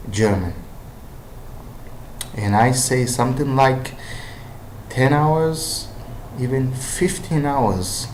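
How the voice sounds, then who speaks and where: A man's voice: mid-range voice, a little mellow, a little hiss or hum. A man with an Israeli accent and Hebrew as his first language, giving language-learning advice in a hesitant, casual monologue full of fillers and restarts. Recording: roomy echo.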